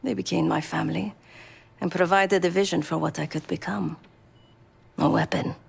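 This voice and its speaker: An actress playing a guarded, hardened assassin in a video game, a woman with a very creaky, husky voice and a terse manner. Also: fairly low pitch, steady tone, moderate pace, exaggerated voice. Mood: guarded, regretful. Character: guarded, hardened female assassin raised by criminals. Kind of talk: video game dialogue acting.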